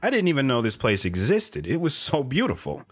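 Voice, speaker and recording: A man's voice: mid-range voice, wildly swooping pitch, fairly quick, fairly bright tone, breathy edge, heavily acted. An actor playing a lone wanderer who recounts his romance in an earnest, hesitant, wistful way. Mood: wistful, reflective. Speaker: storytelling guest on a radio show. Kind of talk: dramatic first-person narration. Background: plucked guitar music.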